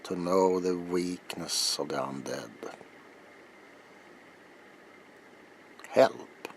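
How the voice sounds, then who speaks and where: Older male vampire hunter , acted by a man, very low and slow, grave and ominous, dramatic ASMR roleplay delivery that sinks into whispers. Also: slight background noise, heavily acted. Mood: grave, brooding. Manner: deliberate, dramatic, dropping into breathy whispers. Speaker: character performer in roleplay.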